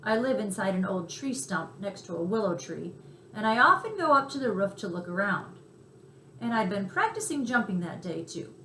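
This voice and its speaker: A woman's voice: mid-range voice, very animated, moderate pace, pushed and bright, reverberant, a little hiss or hum, poor audio. A woman voicing a polite, earnest male cricket who tells his adventure in a mild, chatty way, in a children's story. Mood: wistful reminiscing.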